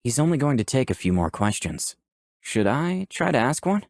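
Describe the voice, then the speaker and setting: A man's voice: mid-range voice, big pitch swings, normal speed, no echo, studio quality. A man playing an eager young tech fan who earnestly narrates his own story in a visual novel. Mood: hesitant. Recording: music behind the voice.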